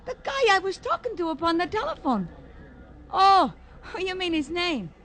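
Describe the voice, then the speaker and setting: An actress with an American accent playing a brash, breezy, scatterbrained roller derby skater in a 1950s radio drama, chatty and cheeky. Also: female voice, very high voice, big pitch swings, normal speed, fairly bright tone, heavily acted. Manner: chatty, literal-minded, cheeky. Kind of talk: 1950s radio comic character acting. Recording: old radio recording, crowd behind.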